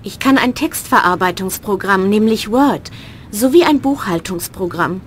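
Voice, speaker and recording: A woman's voice: mid-range voice, expressive, moderate pace, a little hiss or hum, lightly acted. A woman voicing a young woman from abroad applying for a job, reading a scripted textbook dialogue. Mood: polite. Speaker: language course dialogue voice.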